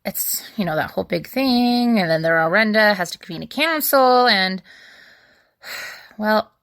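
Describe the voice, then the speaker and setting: A woman's voice: mid-range voice, lively intonation, measured pace, fairly bright tone, dry recording. A young woman playing a flustered, chatty witch in an audio drama, rambling awkwardly and correcting herself as she dictates a letter. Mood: nervous, rambling. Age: young adult. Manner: rambling, awkward, self-correcting, sighing asides. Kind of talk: audio drama monologue, conversational.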